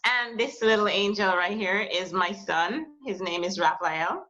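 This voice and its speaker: Soft-spoken woman from Jamaica, lo-fi. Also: mid-range voice, a little slow. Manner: soft-spoken, hesitant, many fillers. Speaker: musician, guest. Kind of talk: casual interview talk. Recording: lo-fi muffled audio.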